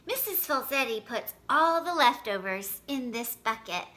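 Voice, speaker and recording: Storybook role: friendly male farmer, read by a woman, folksy, encouraging, high-set and bright. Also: lively intonation, measured pace, heavily acted. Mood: instructing.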